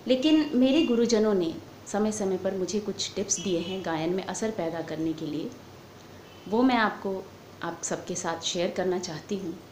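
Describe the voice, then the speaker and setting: A woman giving a formal, measured lecture as a singing teacher. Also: lowish voice, a little mellow. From India. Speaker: music lecturer, vocal teacher. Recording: echoey room with faint background noise.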